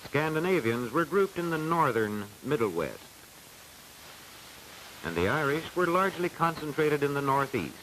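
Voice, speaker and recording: An American man reading scripted narration for a 1940s educational film in a formal, measured, declamatory way, on a hissy old soundtrack. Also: on the high side, big pitch swings, fairly bright tone, poor audio.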